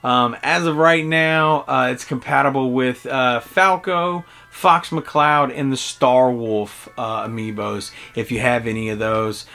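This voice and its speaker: A man in his early forties giving a casual, conversational video game review in a halting, reflective way. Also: mid-range voice, fairly even intonation, creaky, sharp tone. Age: early 40s.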